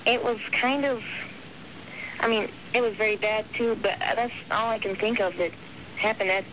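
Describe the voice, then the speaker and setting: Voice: medium pitch, fairly even intonation, moderate pace, lightly acted. A prankster voicing a meek, polite woman caller, speaking hesitantly on a phone call to a radio show. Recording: slightly noisy telephone call to radio.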